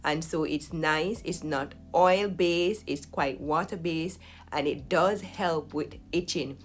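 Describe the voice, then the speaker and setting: A woman recovering from a flu, her voice slightly hoarse, chatting through a hair care routine step by step to camera at home. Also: sits low, takes it slowly. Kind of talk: casual tutorial talk to camera.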